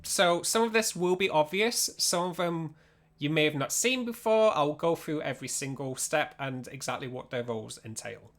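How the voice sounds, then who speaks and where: Yorkshire guy on a stream explaining stuff, chatty, slightly high voice, casual workshop vibe. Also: normal speed, fairly bright tone. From Yorkshire, England. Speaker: streamer teaching audio drama production. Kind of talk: informal livestream workshop talk.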